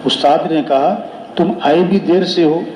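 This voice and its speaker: Echoey, high-pitched male preacher. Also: steady tone, unhurried, a little mellow. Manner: repeats phrases for emphasis; rhetorical question and answer. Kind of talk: sermon with moral counsel and storytelling. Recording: echoey, reverberant room sound.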